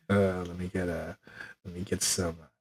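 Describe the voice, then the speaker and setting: A man co-hosting a comedy podcast, giggly and halting as he banters and reads a comic story. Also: low voice, a bit bright, slightly rough, no room sound. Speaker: podcast co-host.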